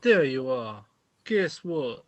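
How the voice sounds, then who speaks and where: A man's voice: mid-range voice, big pitch swings, takes it slowly, dry recording. A man playing a matter-of-fact husband explaining bad news in an amateur radio play.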